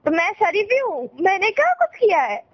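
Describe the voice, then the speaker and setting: Super high girly voice, young indian woman teasing her boyfriend, dramatic n pouty, casual chat. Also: very animated, moderate pace. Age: young adult. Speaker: girlfriend on a phone call. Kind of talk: casual playful couple chat.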